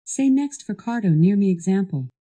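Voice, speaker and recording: A woman with a very soft, dark tone reads scripted instructions as a voice assistant. Her delivery is measured and formal, at an average pitch. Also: animated. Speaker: voice assistant guiding a product demo.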